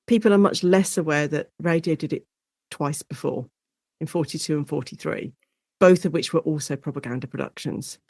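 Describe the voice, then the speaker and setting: A British woman speaking as an expert guest in a conversational interview, explaining history in an informal, animated storytelling way. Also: deep voice, moderate pace, dry recording. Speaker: guest expert, lecturer and author on Shakespeare in radio.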